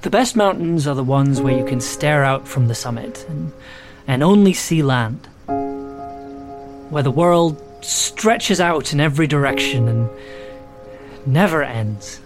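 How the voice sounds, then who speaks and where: Actor doing a weary haunted submariner, really slow n halting dramatic monologue, music behind. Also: mid-range voice, lively intonation, natural voice. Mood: wistful. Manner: halting, reflective, deliberate pauses. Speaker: audio drama performer. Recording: music under the voice.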